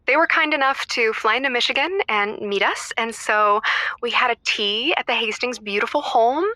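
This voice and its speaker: Bright, high-voiced snobbish wife played by a woman. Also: moderate pace, dry recording. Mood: awkward, confiding. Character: status-conscious, snobbish wife and mother, confiding caller. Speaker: caller. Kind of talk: improvised comedy call-in.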